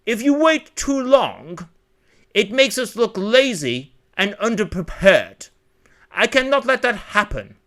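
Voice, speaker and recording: A man's voice: high voice, very animated, slow, fairly bright tone, voice pushed up, exaggerated voice. A man voicing a stern, pompous wealthy father, haughty and scolding, in a story reading.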